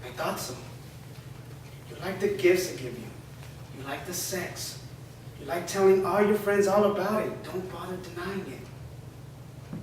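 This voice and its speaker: A blunt, defiant teenage boy with a high voice, as a man reads him. He swears freely, heard from a distance in an echoey room with background noise. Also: measured pace, long pauses. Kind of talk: staged reading. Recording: roomy echo, far from mic, noise.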